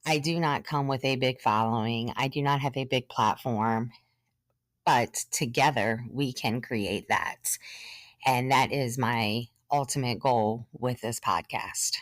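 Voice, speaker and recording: A woman in her thirties, a podcast host sharing her own story in an earnest, measured way, sometimes short of breath and pausing. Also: a bass voice, no room sound. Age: 30s. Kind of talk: personal testimony monologue.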